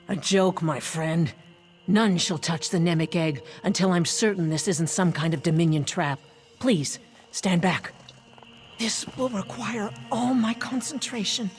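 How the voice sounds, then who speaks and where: A woman playing an aging lizardfolk officer in a fantasy game, solemn and formal with dry wit, speaking in measured phrases. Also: on the low side, natural voice. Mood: wry, careful. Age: older. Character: aging female lizardfolk officer, solemn, reverent, wryly joking. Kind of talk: fantasy game character acting.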